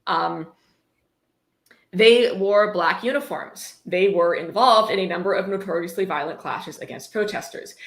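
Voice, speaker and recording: A woman's voice: lowish voice, fairly bright tone. A woman hosting a mocking livestream book reading, sarcastic, irreverent and profane, breaking off into casual commentary. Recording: echoey room.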